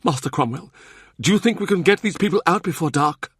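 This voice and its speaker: A man voicing an anxious gentleman usher who sounds fretful and pleading. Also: a little high, animated, a little fast, breathy edge, no echo, clean studio sound, pitched above the performer's own voice. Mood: distressed.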